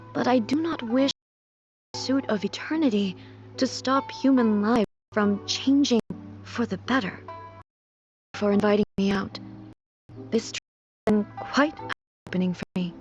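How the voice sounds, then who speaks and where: Formal, high-voiced, solemn immortal ruler. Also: female voice, darkish tone. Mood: grateful, sincere. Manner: formal, measured, earnest, slightly stilted phrasing. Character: solemn, sheltered immortal ruler woman, earnest and naive about modern life. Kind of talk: video game voice acting. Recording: slightly dull game capture with music. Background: game background music.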